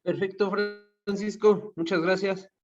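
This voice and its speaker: A man's voice: high-pitched, steady tone, measured pace, over music. A man hosting an informal online class and inviting participants to share, often repeating words as he goes. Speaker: masterclass host. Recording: dull, muffled online call audio.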